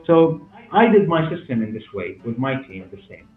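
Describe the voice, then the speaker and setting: A man in his forties with a Syrian Arabic accent, answering interview questions in halting, repetitive non-native English. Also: medium pitch, sing-song. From Damascus, Syria. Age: 40s. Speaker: hair clinic director and interview guest. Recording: roomy, muffled, narrow sound with music behind.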